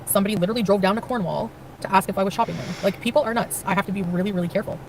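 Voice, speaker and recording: A woman's voice: medium pitch, racing, a little hiss or hum, low-quality recording. A woman chatting casually on a live stream and reading out viewer comments.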